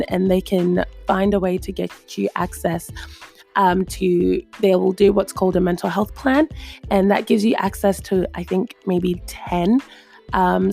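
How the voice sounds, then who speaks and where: A woman's voice: mid-range voice, gravelly, warm tone. A woman hosting a podcast, friendly and chatty with many fillers. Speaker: podcast host. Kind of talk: casual podcast chat.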